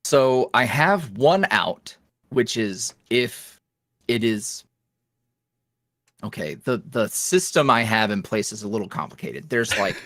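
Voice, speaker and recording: A man speaking as a podcast guest in a casual interview, animated and jokey, with slangy, self-deprecating talk. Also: on the low side, slow. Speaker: podcast guest, audio roleplay creator.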